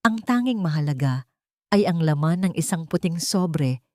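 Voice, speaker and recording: Woman narrating a drama, lowish dry voice. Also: lively intonation, normal speed, dark tone, no echo. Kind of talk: scripted romance story narration.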